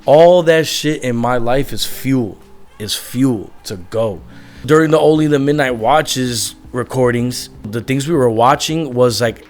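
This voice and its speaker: A man's voice: mid-range voice, unhurried, darkish tone, faint music behind. A young man, a musician guest, talking candidly in a rambling way, full of "like" fillers. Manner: rambling, heavy use of "like" as filler. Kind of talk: candid casual conversation.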